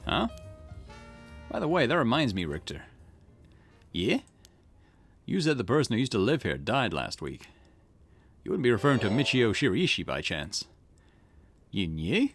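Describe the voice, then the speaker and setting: A man's voice: mid-range voice, wildly swooping pitch, normal speed, long pauses, fairly bright tone. A man hosting a gaming channel, reading a detective game's dialogue aloud in a let's play, swinging between character lines. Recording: game music playing underneath. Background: game music.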